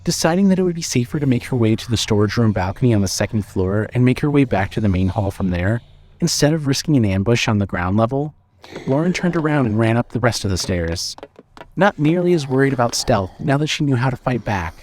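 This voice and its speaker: Guy narrating an audio drama story, middle pitch, some noise and ambience behind him. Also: animated, fairly quick, darkish tone. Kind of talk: scripted audio fiction narration.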